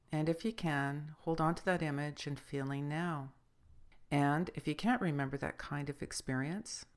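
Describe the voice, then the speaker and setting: Low-voiced woman guiding a meditation. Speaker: spiritual guide leading guided meditations. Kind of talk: guided meditation, scripted narration.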